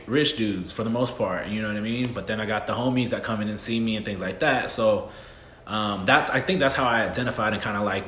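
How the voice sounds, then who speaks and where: Candid low-voiced man in his thirties. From Southern United States. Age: 30s. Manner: relaxed and candid, frequent "um" and "you know what I mean". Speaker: podcast guest. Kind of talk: casual interview chat. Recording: echoey room with faint noise.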